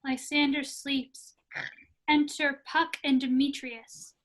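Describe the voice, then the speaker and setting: A woman with a high voice gives scripted narration, reading out stage directions for a play over a video call. The pace is slow. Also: little pitch movement, fairly bright tone.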